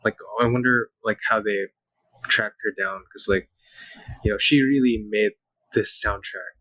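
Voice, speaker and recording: A man's voice: lowish voice, measured pace, sharp tone, no echo, rough recording. A man on a podcast panel, musing aloud in a casual chat.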